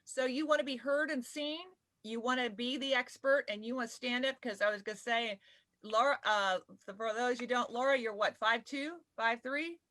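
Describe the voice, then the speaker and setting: A woman talking casually on a live panel video call, animated and quick to laugh. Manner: animated, laughs often, says she has a deep voice. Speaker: spokeswoman and panelist.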